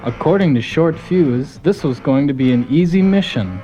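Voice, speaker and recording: A man's voice: a little high, animated, moderate pace, dark tone, muffled recording, natural voice. A man playing a folksy, gung-ho soldier who narrates his team's mission in a scripted audio drama. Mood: earnest storytelling. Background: music.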